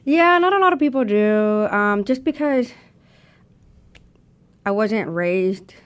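A woman in her thirties from Texas, a podcast guest talking in a jokey, lively way. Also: mid-range voice, slow pace, clear, resonant tone. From Houston, Texas. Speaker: guest, community organization worker. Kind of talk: conversational interview.

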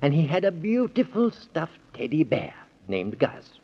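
Playful bedtime-story dad, fairly high and husky, gentle yet dramatic, old-time children's radio. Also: male voice, wildly swooping pitch, a little slow, heavily acted. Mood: hushed storytelling. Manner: indulgent, dramatic storytelling with repetitions. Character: gentle, playful father telling bedtime stories. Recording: dull old 1930s radio recording.

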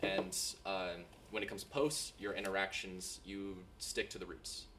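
A man's voice: deep voice, little pitch movement, unhurried, sharp tone, a little hiss or hum. An American man interviewing a band, asking long, rambling questions. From United States. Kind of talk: podcast interview.

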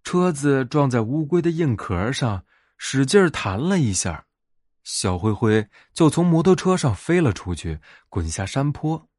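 A man narrating a bedtime fairy tale as a storyteller, in an expressive scripted read. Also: medium pitch, measured pace, dry recording, clean studio sound.

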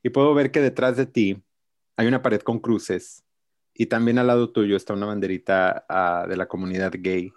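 A man's voice: on the low side, fairly even intonation, normal speed, no echo. A man hosting a podcast, chatting in a friendly, easygoing way with his guest. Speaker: podcast host. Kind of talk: casual interview chat.

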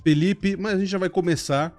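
A man hosting a podcast with a slightly higher voice than most men. He is friendly and playful as he runs through plugs and questions for his guest. Soft music sits quietly underneath. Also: fairly even intonation, normal speed. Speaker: podcast host.

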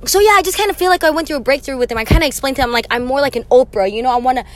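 A woman's voice: high voice, racing, a little hiss or hum. A young woman hosting her own podcast, talking casually from a vehicle, chatty and rambling with fillers and laughs. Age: young adult. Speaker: podcast host. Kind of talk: casual solo podcast monologue.

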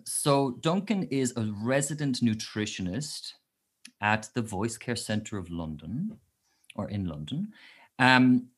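A man hosting a singing podcast, introducing his guest in a casual, hesitant way full of "um"s. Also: medium pitch. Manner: hesitant, lots of "um", quick laughs. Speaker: podcast host.

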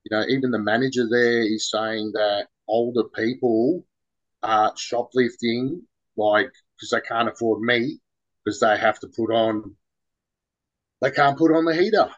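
Australian guy, relaxed rambling guest, slangy and informal, slightly dull lo-fi recording. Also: mid-range voice, a little slow. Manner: rambling, loose and informal, lots of "man" and "bro". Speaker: podcast guest. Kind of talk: casual interview talk.

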